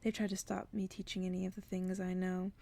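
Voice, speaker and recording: A woman voicing a blunt, teasing old woman in a softly read storybook tale. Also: on the low side, little pitch movement, brisk, vocal fry. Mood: scolding, insistent. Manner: brusque, teasing, scolding. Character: mocking, blunt old spinster woman in a tower.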